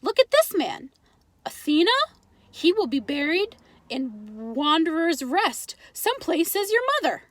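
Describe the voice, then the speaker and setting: A woman's voice: high voice, very animated, a little slow, no echo, voice pushed up. A woman voicing an earnest, enthusiastic father who reads the newspaper aloud emphatically in a children's storybook. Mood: intrigued.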